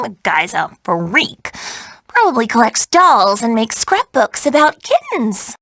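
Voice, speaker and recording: An actress playing a snide, scheming young villainess in a cartoon video game, full of contemptuous sarcasm. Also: female voice, on the high side, fairly bright tone, no echo, heavily acted.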